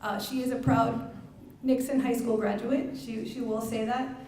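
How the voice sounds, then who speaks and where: A middle-aged woman speaking publicly as a parent and educator, earnest and emphatic, with halting phrasing. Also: fairly high pitch, level tone, darkish tone. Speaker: parent and educator giving a testimonial speech. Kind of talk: public speech at a press conference. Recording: very echoey room, distant mic, faint noise.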